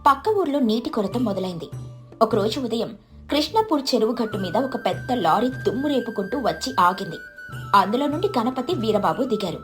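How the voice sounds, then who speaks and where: A woman's voice: a little high, wildly swooping pitch, fairly quick, faint music behind. A woman narrating a scripted story.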